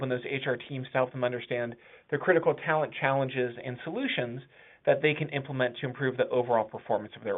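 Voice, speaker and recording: A man introducing himself as a webinar panelist, speaking in a relaxed, unhurried way. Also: a little high. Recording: tinny phone-line audio.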